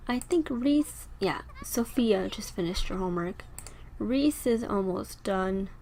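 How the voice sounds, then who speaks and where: Young woman, a bit raspy from a sore throat, higher voice, chatty gameplay commentary, faint typing in the background. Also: darkish tone, slight background noise. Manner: chatty, rambling, talks to her game characters. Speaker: gameplay video host.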